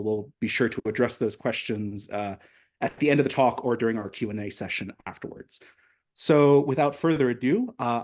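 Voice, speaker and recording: A man in his thirties introduces a speaker at an online event. His voice is mid-pitched and ordinary, and he talks in a chatty way full of fillers and teasing asides. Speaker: moderator.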